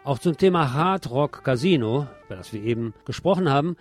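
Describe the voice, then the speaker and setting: A man's voice: mid-range voice, lively intonation, fairly quick. Male radio presenter reading over music. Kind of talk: scripted news reading and commentary.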